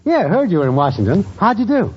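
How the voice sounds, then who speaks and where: An actor playing a brash, shady, wisecracking small-time schemer in a 1940s radio comedy. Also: male voice, sits high, very animated, quick pace. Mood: breezy, cocky. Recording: muffled, very noisy old radio recording.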